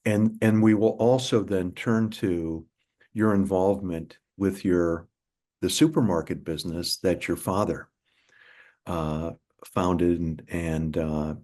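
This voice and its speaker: Slow, deep-voiced male professor hosting. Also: darkish tone. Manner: halting, repeats words while forming questions. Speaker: professor and webinar host. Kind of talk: moderating a webinar.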